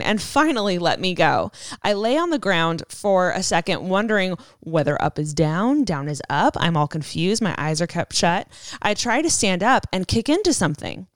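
A young woman co-hosting a podcast, reading a listener's story aloud in an animated way and laughing often. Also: on the low side, no echo, studio quality. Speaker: podcast co-host.

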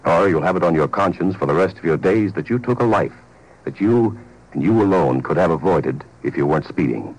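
A man announcing a scripted 1950s radio public service message in a grave, emphatic, deliberate way. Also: deep voice, lively intonation, husky, poor audio. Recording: old 1950s radio recording.

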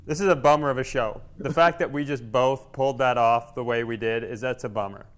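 A man hosting a comedy podcast in casual banter, sardonic and quick to swear. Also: medium pitch, fairly bright tone, clear, resonant tone.

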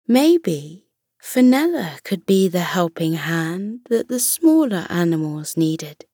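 Lady reading kids a bedtime story, real slow and soothing, lowish voice, dry close sound. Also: sing-song, dark tone, husky, dry recording.

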